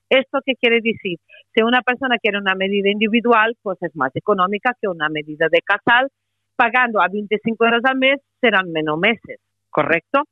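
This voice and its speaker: A woman with a Portuguese accent, a company representative making a persuasive sales pitch by phone on the radio, hesitating often with "eh". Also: no room sound. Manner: persuasive, many hesitations and "eh" fillers. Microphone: telephone. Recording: tinny phone line, dry.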